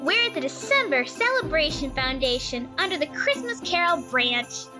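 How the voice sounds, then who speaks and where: A woman's voice: high-pitched, very animated, normal speed, music in the background. A woman voicing an earnest young unicorn sister making official announcements in a fantasy audio drama.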